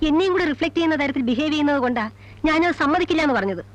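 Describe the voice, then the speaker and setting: Proud, high-voiced, scolding young woman. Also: animated, rapid-fire. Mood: stern. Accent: Indian Malayali. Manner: scolding, disdainful, English words mixed in. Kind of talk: heated film drama dialogue.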